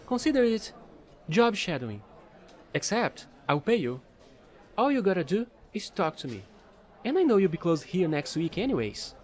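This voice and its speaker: Offbeat fallen angel space trader played by a man for audio roleplay: high, very lively pitch, eager, rambling, playful. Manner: rambling, playful, pleading, casual slangy talk. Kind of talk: audio roleplay monologue addressed to the listener.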